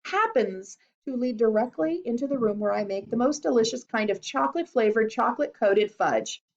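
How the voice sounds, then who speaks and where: Woman as eccentric male confectioner, unflappable, breezy, emphatic, lively swooping intonation, storybook character. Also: on the high side, fairly quick, lo-fi sound.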